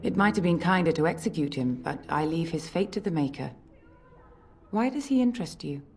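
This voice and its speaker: Formal church mother for a game, mellow dark tone, stern, faint music behind. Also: lowish voice, expressive, a little fast, creaky. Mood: cool, formal. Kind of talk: dramatic game dialogue.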